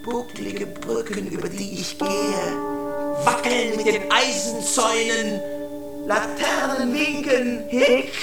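An actor playing a feverish reciter of a grotesque night poem in a radio play, theatrical, in drawn-out fragments. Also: medium pitch, very echoey, over loud music. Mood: eerie, grotesque.

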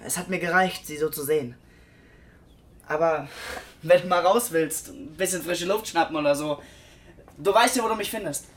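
A man's voice: on the high side, normal speed, close to an everyday voice. A male actor playing a blunt, bitter, estranged man in a German radio drama, curt and controlled. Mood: curt, subdued. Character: bitter, blunt man, estranged old friend grieving his brother. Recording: clear ambient noise behind voice.

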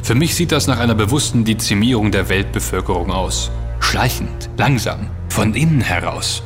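An actor playing a self-assured, intense investigator in a German audio drama, speaking emphatically and accusingly, with dramatic delivery. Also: male voice, on the low side, lively intonation, moderate pace, close to an everyday voice. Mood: grim, accusing. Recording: long reverb with music underneath.

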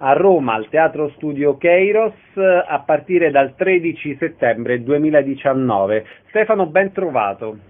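A man's voice: a little high, expressive, measured pace, few pauses. A man hosting a radio show, announcing events and interviewing guests. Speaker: radio host. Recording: tinny phone-like radio sound, clear noise.

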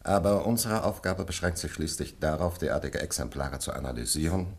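A deep-voiced, husky male scientist in a radio drama. He explains his laboratory findings in formal, exact phrases, methodical and somewhat puzzled. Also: a little fast, full character voice. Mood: matter-of-fact, formal. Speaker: laboratory analyst. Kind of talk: German radio play acting.

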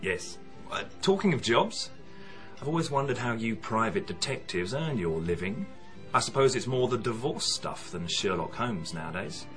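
Surly, low-voiced young Brit. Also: male voice, sing-song, normal speed, a bit bright, faint music behind. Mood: cocky. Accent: British. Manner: offhand, sulky, boastful. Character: surly, cocky young British man, evasive. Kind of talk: radio drama acting.

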